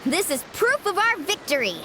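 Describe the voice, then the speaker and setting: A woman's voice: very high-pitched, big pitch swings, normal speed, background noise. A woman voicing a spirited, boastful young girl warrior in a video game, with an exuberant, dramatic delivery. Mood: confident. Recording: loud game music underneath. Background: loud background music.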